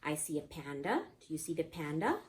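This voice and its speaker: A woman's voice: sits low, big pitch swings, brisk. A woman teacher leading an interactive picture-book lesson for young children, explaining things simply and often asking the children questions.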